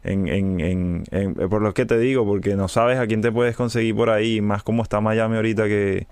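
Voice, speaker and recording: A young adult man with a Venezuelan Spanish accent, a music producer speaking as a podcast guest in a relaxed, halting way, with frequent restarts and English words mixed in. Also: lowish voice, clean tone, dry recording. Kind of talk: casual podcast conversation.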